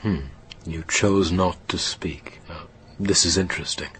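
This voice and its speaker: A man playing a measured, probing questioner in a radio drama, choosing his words with some hesitation. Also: deep voice, fairly even intonation, fairly bright tone, husky, full character voice. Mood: calm, probing. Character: measured, probing male questioner about a fatal accident.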